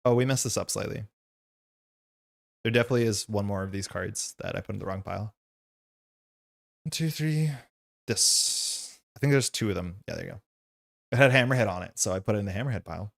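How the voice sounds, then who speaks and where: A man's voice: fairly low pitch, fairly quick, creaky, pauses often. A man whose first language is Slovenian, hosting and chatting casually and ramblingly while playing a card game. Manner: chatty and rambling, with frequent fillers and asides. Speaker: host.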